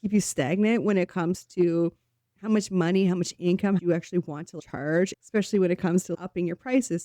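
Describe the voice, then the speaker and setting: A woman hosting her own channel, a little sick so her voice sounds slightly off, talking earnestly and encouragingly to camera and slowing down to stress key points. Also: on the low side, mellow, no echo. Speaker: host. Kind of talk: solo talk to camera.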